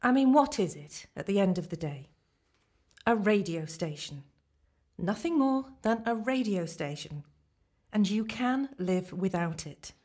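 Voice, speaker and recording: A woman's voice: mid-range voice, animated, measured pace, darkish tone. A woman playing an encouraging, slightly daft therapist in a radio play, earnest and reassuring. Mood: earnest encouragement.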